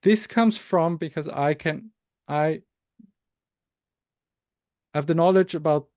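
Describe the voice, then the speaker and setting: A man from Austria whose first language is Tagalog, answering interview questions slowly, with many fillers and self-corrections. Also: on the high side, a little mellow, no echo, studio quality. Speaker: researcher and author, interview guest.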